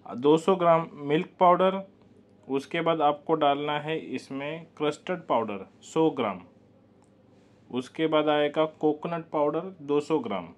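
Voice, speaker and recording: A man's voice: on the high side, muffled recording. A man teaching a step-by-step baking demonstration in a commercial bakery kitchen, patiently repeating the key warnings.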